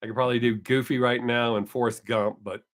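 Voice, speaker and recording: A man's voice: medium pitch, level tone, fast talker. Chatty older man with a drawl. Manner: rambling, self-correcting, chatty. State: voice not fully recovered. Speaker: live stream host. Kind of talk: casual chat with viewers.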